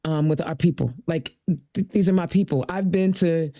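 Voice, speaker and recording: A woman's voice: sits low, normal speed. Folksy woman host in her fifties. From Indiana. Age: 50s. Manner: folksy, animated, playful. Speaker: podcast host. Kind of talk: casual interview chat.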